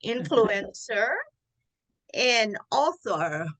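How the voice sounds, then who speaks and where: A woman hosting a community talk show interview, halting with many fillers. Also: medium pitch, expressive, a bit bright, rough recording. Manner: halting, many ums and uhs, chatty with laughs. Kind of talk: casual interview.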